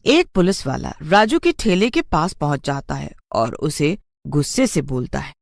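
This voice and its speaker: An expressive narrator tells a scripted cartoon tale. The pitch is moderate but moves around a great deal from phrase to phrase. The recording is dry, with no audible room. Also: a little slow, gravelly, no room sound. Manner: expressive storytelling.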